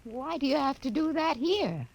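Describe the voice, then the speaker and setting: A woman's voice: high voice, big pitch swings, normal speed, creaky, darkish tone, full character voice. An actress playing a kindly, fussing middle-aged mother, chatty and solicitous, in a 1950s radio drama. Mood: fussing, fond. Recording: old radio recording, music behind.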